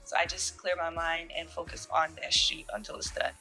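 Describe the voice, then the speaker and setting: Young woman model from jamaica, bright voice, easygoing, lots of ums, faint music behind. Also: deep voice, a little fast, poor audio. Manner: relaxed, with fillers and soft laughs. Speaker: fashion model. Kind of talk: interview answers.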